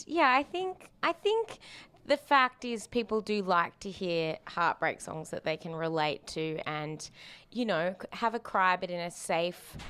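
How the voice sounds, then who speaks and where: A woman's voice: fairly low pitch, fairly bright tone. An Australian woman, a band musician, with a slightly nasal voice, answering hesitantly with many fillers. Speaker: musician guest. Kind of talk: casual interview answers.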